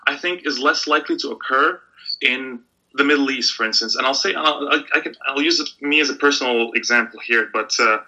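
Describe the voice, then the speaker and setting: A man raised in Egypt talks through a discussion with a keen, very bright and forceful tone. He restarts his sentences often but lands his points firmly. The audio is dull and lo-fi. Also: mid-range voice, fairly quick. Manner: thoughtful, restarts often, emphatic at times. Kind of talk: conversational discussion. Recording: dull lo-fi sound.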